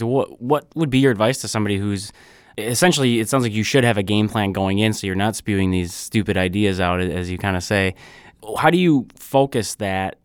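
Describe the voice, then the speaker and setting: A man's voice: lowish voice, smooth, no room sound, clean studio sound. A man interviewing a guest, asking long searching questions with fillers.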